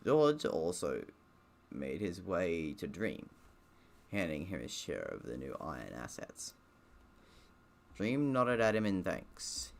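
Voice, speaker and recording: This is a man with a very low, gravelly voice playing a visual novel for viewers. He reads the text aloud with lively swings in pitch, then breaks off into sarcastic, grumbling commentary on it. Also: slow pace, long pauses. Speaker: streamer playing and reading a game aloud.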